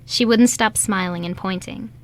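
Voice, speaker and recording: A woman's voice: medium pitch, moderate pace. A woman reading scripted story narration as the narrator of a radio play.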